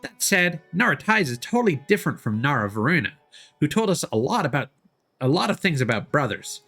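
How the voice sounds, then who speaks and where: Small earnest forest spirit played by a man, raised pitch, swooping intonation, naive, polite, game character. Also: sing-song, a little fast, bright, forceful tone, soft background music, full character voice. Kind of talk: voiced reading of game dialogue.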